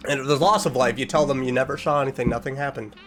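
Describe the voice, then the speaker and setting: A man giving casual, sarcastic reaction commentary with animated, mock-excited asides over background music. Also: mid-range voice, very fast. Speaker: reaction video host.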